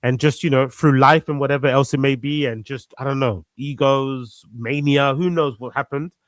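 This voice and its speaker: A man's voice: mid-range voice, steady tone, normal speed, dry recording. A man in a casual conversation, earnest and reflective, repeating phrases for emphasis. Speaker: podcast guest.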